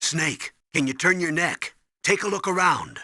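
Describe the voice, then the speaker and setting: A man playing an earnest, intense comrade in a video game, with a raspy voice and dramatic, urgent delivery. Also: on the high side, expressive, a little slow, pushed and bright, no room sound, studio quality, full character voice. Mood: concerned.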